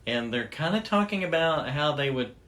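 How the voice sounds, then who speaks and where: A woman's voice: low voice, animated, moderate pace, a bit bright. A woman hosting a show, explaining things in casual, conversational chat. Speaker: host. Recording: faint background noise.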